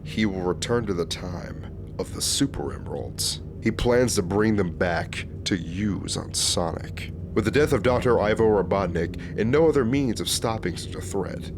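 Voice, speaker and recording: Man as a grave, low horror-report narrator. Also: expressive, vocal fry, noisy background. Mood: grave, ominous. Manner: solemn, measured, formal. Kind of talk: scripted analog-horror narration.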